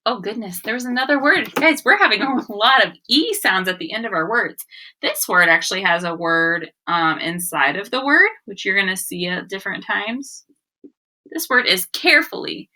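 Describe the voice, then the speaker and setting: Bright, lively woman teaching young children. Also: mid-range voice, normal speed. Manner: instructional, addressing children directly, emphatic sounding-out of words. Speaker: elementary school teacher. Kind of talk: read-aloud phonics lesson for young children.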